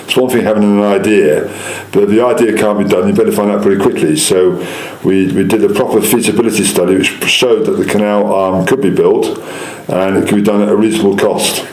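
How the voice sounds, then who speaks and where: Councillor type: English man, quick, insistent explainer, interviewed in an echoey room. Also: on the low side, steady tone, darkish tone. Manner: insistent, explanatory, repeats points. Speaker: local councillor. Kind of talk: interview answers, political persuasion.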